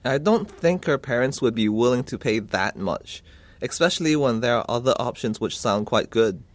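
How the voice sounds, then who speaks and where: A man's voice: mid-range voice, expressive, moderate pace, close to an everyday voice. A man acting a polite, concerned uncle who asks questions in a scripted listening-test dialogue. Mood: polite, curious. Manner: polite, inquiring. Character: polite concerned uncle seeking advice for his niece.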